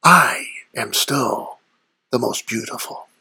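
A performer voicing a vain, haughty Devil in a comic mock interview, speaking tersely and formally with a husky, breathy rasp. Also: medium pitch, sharp tone, no room sound, full character voice. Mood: haughty, proud. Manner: terse, formal, slow and deliberate.

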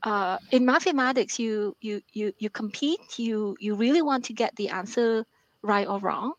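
A woman's voice: fairly high pitch, moderate pace, highs cut off. Hesitant woman, English her second language. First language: not English. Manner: hesitant, many fillers and restarts. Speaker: memoir author, guest. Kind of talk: interview answers.

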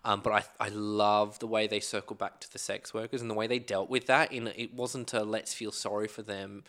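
A man's voice: fairly low pitch, flat delivery, a little fast, a bit bright. A man co-hosting a podcast, chatting enthusiastically about films with many fillers and restarts. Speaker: podcast co-host. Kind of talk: casual film review chat.

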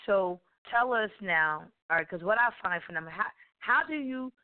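A woman hosting a live radio interview, introducing her guest in a rambling, halting way while losing her voice. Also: medium pitch, lively intonation, smooth, dry recording. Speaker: radio show host. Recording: tinny phone-like radio audio.

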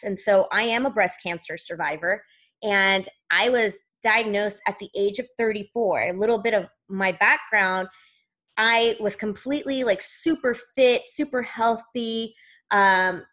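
A woman's voice: mid-range voice, fairly bright tone. A woman in her thirties, a podcast guest, telling her own story in an earnest, conversational way. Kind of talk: personal storytelling in interview.

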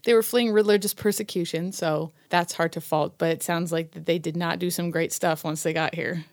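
A woman's voice: on the low side, steady tone, fairly quick, no echo, studio quality. An American woman co-hosting a casual chat in an easygoing, agreeable manner. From United States.

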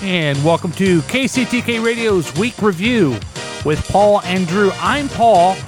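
A man hosts a loose, comedic radio chat show, wandering off track and stopping to correct himself. His voice sits a bit high for a man, and faint music hums underneath. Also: expressive, measured pace. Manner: rambling, self-correcting. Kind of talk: casual comedic radio banter.

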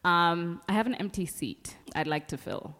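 A woman's voice: lowish voice, lively intonation, moderate pace, fairly bright tone. A woman hosting a live podcast panel, bantering with guests and encouraging them in an exclamatory way. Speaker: podcast host. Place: live event with an audience. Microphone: event microphone.

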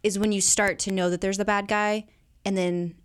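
A woman's voice: medium pitch, little pitch movement, normal speed, smooth. Woman guesting on a casual film chat. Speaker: guest co-host.

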